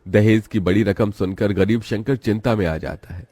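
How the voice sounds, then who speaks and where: A man narrates a cartoon story in a steady, dramatic manner. His voice sits very low. Each line is delivered like scripted storytelling. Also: normal speed, no echo.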